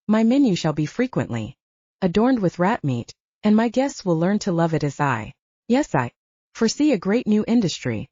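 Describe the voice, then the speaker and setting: A woman's voice: on the low side, warm tone, no echo. A woman voicing a grandiose, effusive male scientist and gourmet in an audiobook, with a pompous, oratorical manner. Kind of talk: audiobook character voice. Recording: dull, muffled dry audio.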